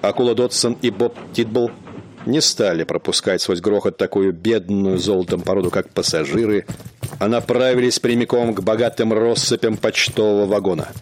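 A man narrating a radio play in a measured, dramatic storytelling manner. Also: lowish voice, slight background noise.

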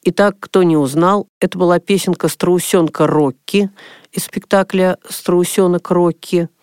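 A man hosting a radio music programme, introducing old songs in a measured, formal way. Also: high-pitched, fairly even intonation, a little mellow.

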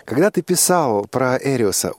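Animated male radio interviewer, dry sound. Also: medium pitch, moderate pace, dry recording. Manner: repeats and rephrases questions, probing. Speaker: radio host interviewing a young guest.

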